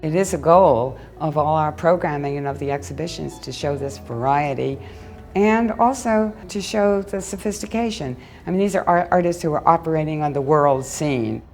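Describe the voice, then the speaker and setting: A woman's voice: deep voice, moderate pace, darkish tone, soft background music. A woman curator explaining an exhibition in an interview. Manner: explanatory, gallery-tour talk.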